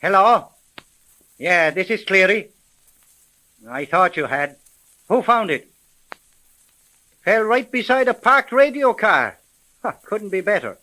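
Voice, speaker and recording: A man playing a wisecracking, commanding homicide sergeant in a 1930s radio drama, with colloquial, brisk speech. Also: sits high, sing-song, pushed and bright, heavily acted, low-quality recording. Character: gruff, wisecracking male police homicide sergeant.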